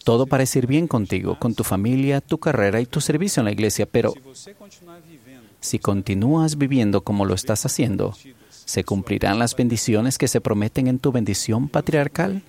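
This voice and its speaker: A man delivering a church sermon in a calm, deliberate and reverent way, as an interpreter. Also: mid-range voice, vocal fry.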